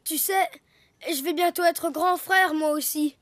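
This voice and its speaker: A woman's voice: very high-pitched, steady tone, a little slow, a bit bright, dry recording. A woman voicing an eager, good-hearted young boy turtle with an earnest, childlike manner in a French-dubbed children's cartoon. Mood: friendly.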